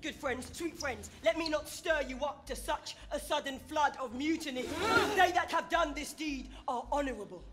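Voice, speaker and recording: A woman playing an impassioned, grieving Roman orator who slyly rouses a crowd, declaiming a Shakespearean stage speech with weighted rhetorical pauses. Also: high-pitched, soft background music, natural voice. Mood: grieving, ironic. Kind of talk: theatrical Shakespearean stage oration.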